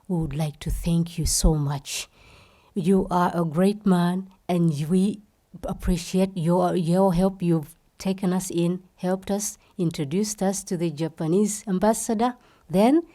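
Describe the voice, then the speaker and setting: A woman's voice: lowish voice, dark tone. A woman from Uganda, the founder of a festival, giving an impassioned speech and repeating phrases for emphasis.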